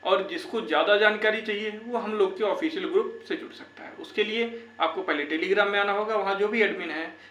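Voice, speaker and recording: A man's voice: sits high, a little fast, hardly any pauses, a bit bright. A young man giving informal, earnest advice to viewers as a channel host, repeating his points to urge them on. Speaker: YouTube channel host for exam candidates. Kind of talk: informal advisory talk to viewers. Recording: echoey room with faint background noise.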